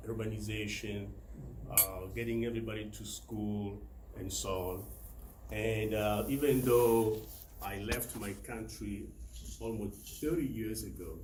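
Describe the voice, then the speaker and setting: A middle-aged man from the Democratic Republic of Congo giving a prepared personal talk to a club, pausing often with filler sounds. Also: fairly low pitch. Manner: hesitant, many filler sounds. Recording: roomy hall with faint ambient noise.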